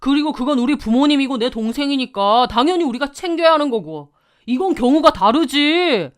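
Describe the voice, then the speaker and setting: A woman's voice: high-pitched, expressive, brisk. A woman voicing a defensive, stubborn husband, curt and argumentative.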